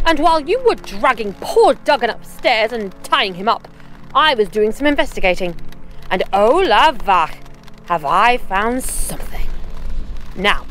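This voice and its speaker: A bold young woman journalist, played by a woman in an audio drama. Her voice is high and springy, and she teases people with easy confidence. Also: expressive, a little slow, a bit bright, soft background music. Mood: teasing. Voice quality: playful, teasing, confident. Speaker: Eleanor Agnew.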